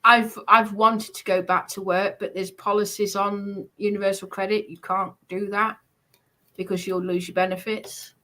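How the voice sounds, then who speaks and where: A woman's voice: mid-range voice, little pitch movement, normal speed, pushed and bright. A woman chatting casually on a live stream, talking in a defensive, insistent way.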